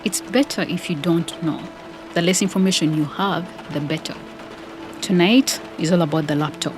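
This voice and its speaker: A woman's voice: fairly low pitch, normal speed, natural voice. Woman acting a commanding mission leader over music. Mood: commanding, grim. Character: Helen, cool, commanding woman leading a covert mission. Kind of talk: audio drama acting. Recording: music, rain and vehicle sound behind.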